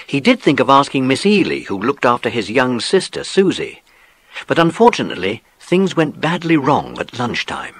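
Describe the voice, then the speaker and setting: A man's voice: medium pitch, big pitch swings, moderate pace, a bit bright, slightly rough, no echo. A man narrating a children's story from a script, as in an audiobook drama.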